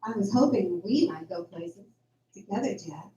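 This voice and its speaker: Animated, encouraging young farm woman, acted. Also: mid-range voice, moderate pace, muffled recording, close to an everyday voice. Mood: affectionate, playful. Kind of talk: amateur radio drama acting. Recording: echoey room, faint background noise.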